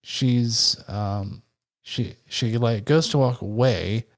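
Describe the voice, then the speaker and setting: A man with a soft, gentle voice talks things over on a podcast. He sounds candid and reflective, taking his time, with a pitch that sits in an ordinary middle register. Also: takes it slowly. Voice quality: soft-spoken, soft tone. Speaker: podcast co-host. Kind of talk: casual podcast chat.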